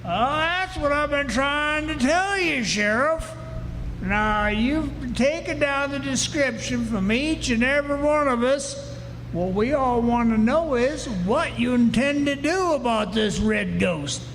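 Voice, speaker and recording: Man acting a very high-voiced, slow frontier rancher. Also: lively intonation, a bit bright, full character voice. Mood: agitated, insistent. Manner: folksy, emphatic, drawling western talk. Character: earnest, rattled male frontier rancher, stubborn and plain-spoken. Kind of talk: live old-time western radio drama acting. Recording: noisy echoey hall, live stage performance.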